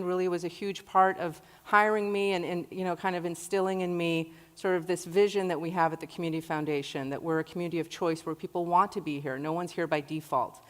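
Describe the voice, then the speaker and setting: A woman in her forties speaks earnestly to an audience, her voice sitting a little low for a woman. Her talk carries a long reverb from the large hall around her. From Iraq. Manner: earnest, warm-hearted storytelling. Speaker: guest speaker.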